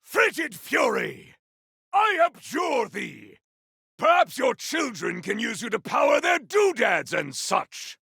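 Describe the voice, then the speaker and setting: Zealous video game champion: high-pitched for a man, raspy, bombastic and theatrical, swooping boldly through boastful combat barks. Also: huge pitch swings, slow, bright, forceful tone, dry recording, shouting, studio quality, full character voice. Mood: battle fury. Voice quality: gravelly, raspy. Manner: bombastic, archaic, theatrical declamation. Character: zealous, boastful male warrior champion, grandiose and battle-hungry.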